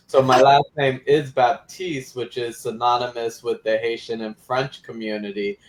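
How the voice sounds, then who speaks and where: Articulate man explaining his background. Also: medium pitch. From Florida. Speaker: guest, community activist. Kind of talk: interview answers.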